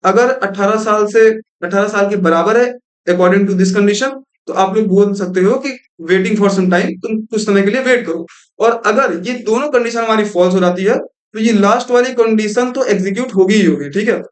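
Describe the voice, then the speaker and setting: A man's voice: sits high, moderate pace. A young man giving a screen-recorded programming lesson, explaining step by step and mixing in English terms. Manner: explanatory, repeats "thik hai" and mixes in English terms. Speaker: programming tutor.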